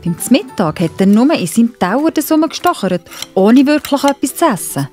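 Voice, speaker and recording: A woman's voice: a little high, sing-song, moderate pace, a little mellow, faint music behind. A woman with a Swiss German accent narrating a scripted story for children.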